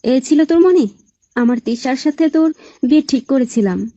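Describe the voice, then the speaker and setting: A woman's voice: sits high, normal speed, warm tone, dry recording, muffled recording, natural voice. A woman playing a bossy, scolding middle-aged mother of a grown son in an audio story.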